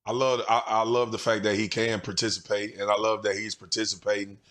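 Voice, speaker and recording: A hoarse middle-aged man with a raspy voice, giving emphatic, conversational sports analysis as a studio analyst. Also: on the low side, level tone, a little fast, fairly bright tone. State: hoarse from cheering. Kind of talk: sports talk show analysis. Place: TV studio set.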